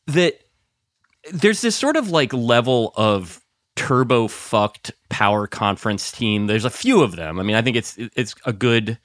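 A man with a highly animated delivery that swings up and down as he talks. He is a podcast co-host in casual sports chat, rambling and wry with the odd swear word, recorded dry with no room sound. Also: a little slow, no room sound.

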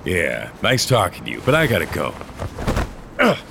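Weary old courier, extremely low voice, brooding, sardonic, noir radio play, faint ambient noise behind. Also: male voice, huge pitch swings, normal speed, vocal fry, exaggerated voice. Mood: sardonic, defiant. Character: weary, bitter old sci-fi courier, gruff, sardonic loner. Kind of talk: first-person noir audio drama acting.

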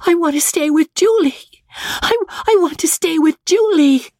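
A woman voicing an overwrought, fiercely loyal young girl in an audiobook, shouting and wailing through tears. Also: very high-pitched, a little slow, dry recording, studio quality, voice pushed up.

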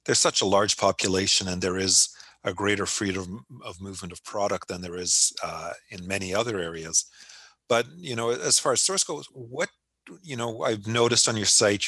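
A man's voice: lowish voice, fairly even intonation, normal speed, pushed and bright, breathy edge, dry recording. A man hosting a podcast interview, asking long, rambling questions in a casual, conversational way. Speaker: podcast host.

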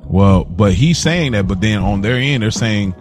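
A man's voice: fairly low pitch, expressive, moderate pace, soft background music. A man co-hosting a podcast, chatting and debating with a friend in an informal, slangy way. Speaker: podcast co-host. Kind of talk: casual chat, debating with a friend.

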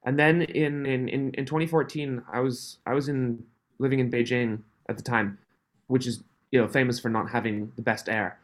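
A man in his thirties, raised in Switzerland, with a mixed, faintly Canadian accent, chatting as a podcast guest, friendly and quick to laugh. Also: medium pitch, fairly even intonation. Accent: mixed, Canadian-tinged. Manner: friendly, laughs often, restarts phrases. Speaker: podcast guest, company founder. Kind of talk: casual interview chat.

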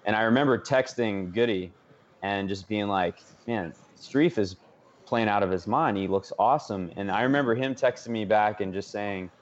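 Low-voiced male sports host, muffled audio. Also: moderate pace. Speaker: sports radio host, interviewer. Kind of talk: casual sports talk interview.

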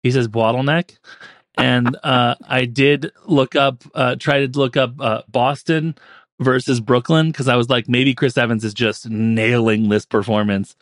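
A man on a podcast panel chatting casually about films, with a tentative, halting and wry way of talking. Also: medium pitch, level tone, dry recording, clean studio sound. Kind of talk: casual film chat.

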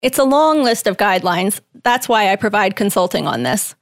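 Creaky-voiced woman reading interview answers. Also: medium pitch, very animated, normal speed, dry recording, studio quality. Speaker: stand-in voice reading the guest's typed answers.

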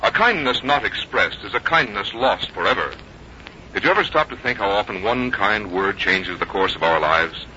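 A man reading scripted 1950s radio sponsor narration as an announcer, polished, earnest and measured. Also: fairly low pitch, animated, pushed and bright. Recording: muffled, hissy 1950s radio recording.

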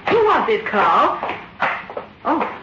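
An anxious, secretive wife in an old radio drama. She speaks slowly in a fairly high voice, with clear noise on the recording. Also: sing-song. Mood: uneasy. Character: anxious wife sharing her husband's dark secret. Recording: dull, noisy vintage radio recording.

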